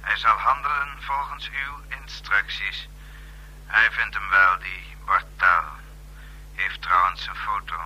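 A man playing a deferential, self-assured secret accomplice in a radio play, formal and measured, with a raspy voice. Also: fairly low pitch, steady tone, bright, forceful tone, exaggerated voice, low-quality recording. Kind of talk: Dutch radio play acting.

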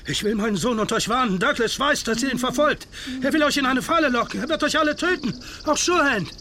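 A man's voice: very high voice, quick pace, background noise. An actor playing a desperate, pleading swindler who poses as a father, in a German radio play. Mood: anxious warning. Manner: agitated, pleading.

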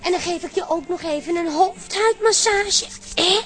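High-voiced, bubbly hairdresser. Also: female voice, normal speed, a bit bright. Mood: reassuring. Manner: familiar, coaxing. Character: bubbly, chummy, reassuring young hairdresser woman. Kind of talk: Dutch radio comedy drama acting.

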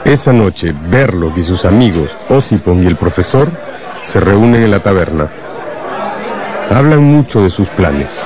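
A man's voice: low-pitched, animated, unhurried. A man narrating a scripted radio drama as storyteller. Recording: loud music and crowd sound behind.

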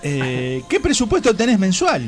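A man's voice: high voice, huge pitch swings, moderate pace. A man with a Rioplatense accent co-hosting a talk show, playful and wisecracking. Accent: Rioplatense Spanish. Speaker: radio show co-host. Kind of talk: casual studio banter. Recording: music clearly behind.

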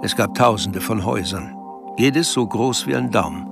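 Low-voiced male narrator over music. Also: moderate pace, gravelly. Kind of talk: scripted literary narration in radio drama.

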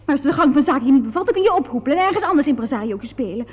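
High, swooping temperamental young actress. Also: female voice, lively intonation, racing. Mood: cutting. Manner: theatrical, teasing, swinging from coy to indignant. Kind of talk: radio play acting. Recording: dull lo-fi old radio recording.

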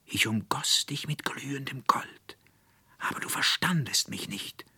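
A man voicing a tender, yearning enchanted nature spirit with slow, breathy, incantatory whispering in a storybook reading. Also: medium pitch, sharp tone, no room sound, voice pushed up, full character voice. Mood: ardent, hushed.

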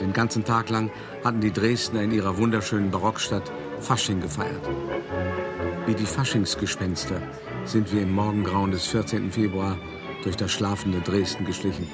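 Actor as deep-voiced older art dealer, wryly reminiscing. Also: male voice, close to an everyday voice. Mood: wry recollection. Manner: measured, dramatic, cultivated raconteur. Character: elderly male art dealer recounting his escapes, wry, weary. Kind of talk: radio play monologue, storytelling.

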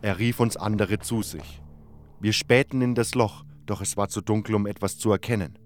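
Low-voiced male fantasy narrator. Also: fairly even intonation, normal speed, slight background noise. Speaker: first-person narrator. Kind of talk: scripted fantasy audiobook narration. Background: background music.

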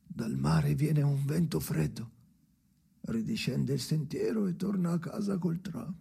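A man playing a haunted, brooding storyteller in an experimental radio drama, speaking in halting, repeated fragments with a creaky voice that often drops to whispers. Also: a little high, warm tone, heavily acted. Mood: brooding, uneasy. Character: haunted brooding man narrating memories alone by the sea.

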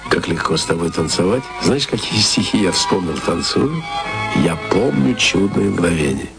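An actor playing a tender, literate lover in an intimate radio-play monologue, with theatrical, drawn-out phrasing. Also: male voice, deep voice, lively intonation, vocal fry, over loud music. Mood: tender, musing. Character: tender, musing literate lover speaking to his beloved.

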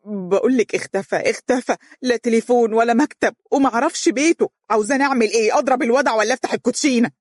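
A narrator voicing a panicked, ashamed young Egyptian man who shouts fast in despair. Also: very high voice, no echo, clean studio sound, voice pushed up. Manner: rapid, desperate shouting.

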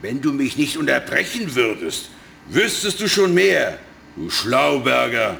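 An actor playing a sinister, short-tempered hacker boss in a children's radio play, domineering and scornful. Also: male voice, medium pitch, very animated, a little slow, creaky, sharp tone, slight background noise, full character voice. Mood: irritated, commanding. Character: finster, bossy male hacker boss, short-tempered schemer.

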